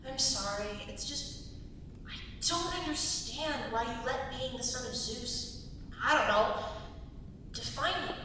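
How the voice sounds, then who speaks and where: Woman playing bright, wry princess, echoey hall. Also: a little slow. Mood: sincere. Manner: sardonic, bantering. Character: wry, teasing, self-assured young seafaring princess. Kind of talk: dramatic stage reading. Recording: very echoey hall, distant mic, rumbly noise.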